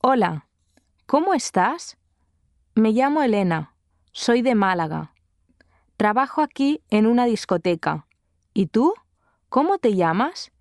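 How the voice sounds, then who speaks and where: An actress playing a cheerful, sociable young woman in a slow, scripted dialogue for language learners. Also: fairly high pitch, very animated, a little mellow, no room sound, clean studio sound, full character voice.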